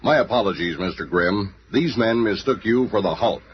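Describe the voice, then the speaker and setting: An actor playing a calm, courteous, authoritative military officer in a 1970s radio drama. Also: male voice, lowish voice, moderate pace, lightly acted. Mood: apologetic. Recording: very noisy lo-fi 1970s radio recording.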